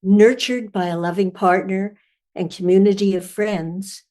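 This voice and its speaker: An older woman gives a literary poetry reading. Her pace is very slow, and each phrase is measured and deliberate. Her pitch sits in the ordinary range for a woman. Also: fairly even intonation, warm tone. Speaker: writer reading own poem.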